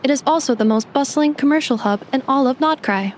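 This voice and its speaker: Formal young robot girl, acted by a woman; literal, clipped, slightly high. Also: fairly quick, slight background noise, lightly acted. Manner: formal, precise, computer-like phrasing. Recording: game audio with music behind.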